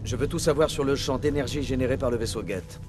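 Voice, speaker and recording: A resolute military commander from a video game , played by a man, talking fast at a medium pitch. He is terse and authoritative, and music plays clearly behind him. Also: natural voice. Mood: resolute, commanding. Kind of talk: French video game dub.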